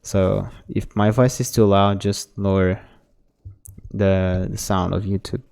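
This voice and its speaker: A man, a native Portuguese speaker, talks a player through their mistakes in a relaxed coaching tone. His voice sits low and sounds smooth and mellow. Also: takes it slowly. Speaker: game coach. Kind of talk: casual demo review commentary.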